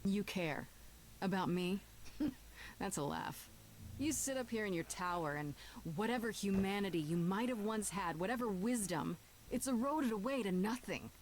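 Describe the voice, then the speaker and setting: A woman's voice: mid-range voice, lively intonation, moderate pace, a little hiss or hum, close to an everyday voice, low-quality recording. A woman playing a defiant, sardonic young heroine in a video game, talking in a dry, challenging, conversational way. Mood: bitter, accusing.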